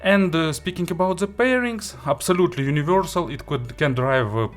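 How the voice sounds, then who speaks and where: A man who is a non-native English speaker narrates a product review as an audio gear reviewer, in halting, hesitant phrasing. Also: fairly low pitch. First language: not English.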